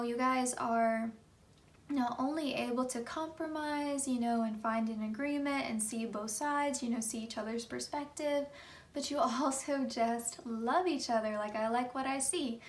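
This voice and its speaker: Slightly hoarse chatty woman reading tarot. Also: on the high side, normal speed, recorded from a distance. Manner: chatty, many fillers like "you know". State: hoarse from just waking up. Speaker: tarot reader. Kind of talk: pick-a-card tarot reading.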